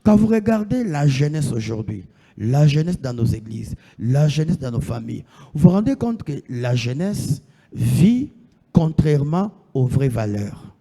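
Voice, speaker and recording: A man's voice: medium pitch, big pitch swings, unhurried, creaky, mellow, husky, big-room sound. A man in his thirties preaching a sermon, asking the congregation questions and answering them himself, repeating his phrases. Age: 30s.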